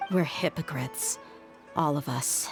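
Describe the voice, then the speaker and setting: An actress playing a tough young female desert mercenary in a video game, measured, earnest and frank. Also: deep voice, soft background music. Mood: rueful.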